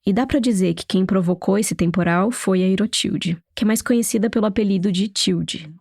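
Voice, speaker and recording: A woman reporter with a Brazilian Portuguese accent narrating a documentary story in a steady, storytelling manner. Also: mid-range voice, brisk, breathy edge, no echo, studio quality. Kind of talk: scripted narration.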